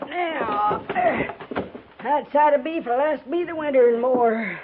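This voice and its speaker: A woman's voice: high-pitched, slow pace, slight background noise. An actress playing a tough, sly old American frontier woman with folksy, rustic speech in a 1950s radio drama. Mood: sly, gruff. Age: older. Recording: muffled, thin 1950s radio recording.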